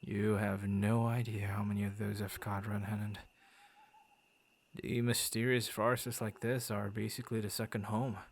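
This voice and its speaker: A man's voice: on the low side, level tone, measured pace. A man voicing a friendly, bashful werewolf who guards his forest, stammering and playfully theatrical, in an ASMR boyfriend roleplay. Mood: amused, welcoming.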